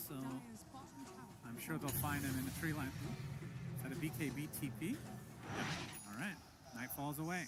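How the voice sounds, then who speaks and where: A man's voice: a little high, noisy background. A man giving play-by-play esports commentary, bantering and laughing with his co-caster. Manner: quick banter, laughs often. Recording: commentary over loud game music.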